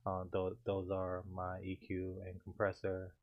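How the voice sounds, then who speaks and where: Man, deep low voice, flat delivery, unhurried, home studio tutorial talk. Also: phone-quality sound. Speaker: home studio rapper explaining his mixing setup. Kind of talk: casual screen-share tutorial.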